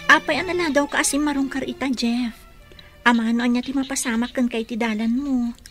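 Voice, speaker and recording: A woman in a radio drama playing a caring young fiancée who fusses gently over her man. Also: high voice, steady tone, brisk, music in the background, close to an everyday voice.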